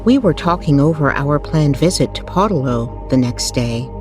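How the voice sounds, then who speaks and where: A woman narrating a scripted bedtime story in a soothing, measured manner with careful phrasing. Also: deep voice, expressive. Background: music.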